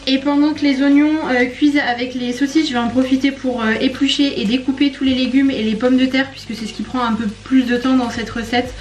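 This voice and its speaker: Woman, thirties, fairly high pitch, chatty, casual cooking vlog narration, conversational. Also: fairly even intonation, a little fast, few pauses, a little mellow, a little hiss or hum. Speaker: lifestyle and cooking vlogger. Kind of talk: casual vlog narration, talking viewers through food. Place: home kitchen, indoors.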